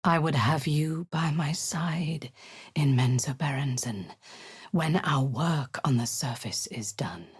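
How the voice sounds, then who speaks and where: A woman voicing a proud, ruthless drow priestess commander in a video game, commanding and menacing, often dropping to a hushed hiss. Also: low-pitched, takes it slowly, breathy edge, no echo, clean studio sound, exaggerated voice. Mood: hushed menace.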